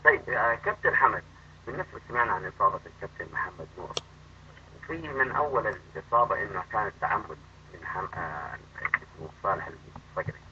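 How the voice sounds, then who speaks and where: A man hosting a live chat-room interview, asking questions eagerly and quickly. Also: on the low side, expressive. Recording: dull lo-fi audio with faint noise.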